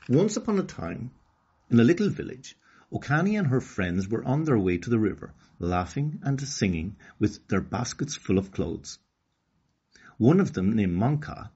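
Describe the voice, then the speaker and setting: An Irish man reading a children's story aloud in an expressive, deliberate storybook delivery. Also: fairly low pitch, slightly rough. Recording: slightly dull lo-fi recording.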